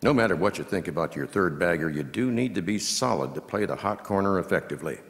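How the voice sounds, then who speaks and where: Reverberant hall. Seasoned male baseball commentator performed by a man, folksy, highly animated intonation, measured patter and punchy calls. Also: gravelly. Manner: measured asides breaking into punchy, drawn-out calls. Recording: long hall reverb from live venue.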